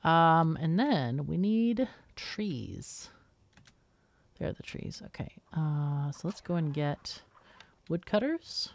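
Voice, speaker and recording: A woman's voice: low-pitched, lively intonation, takes it slowly, a little mellow, no room sound. A woman hosting a gaming video, casually thinking aloud and explaining as she plays. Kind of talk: casual gameplay commentary.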